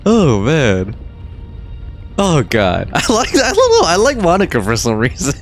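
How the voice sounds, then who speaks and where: A male streamer gives casual commentary on a game. He speaks slowly but his pitch jumps around a great deal, with slangy, reactive remarks. Game music plays behind him. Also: huge pitch swings. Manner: slangy, reactive, frequent swearing. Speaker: live streamer playing a game.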